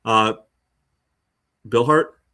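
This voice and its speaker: A man co-hosting a casual soccer podcast, thinking out loud in a wry way. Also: lowish voice, very slow. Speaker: sports podcast co-host.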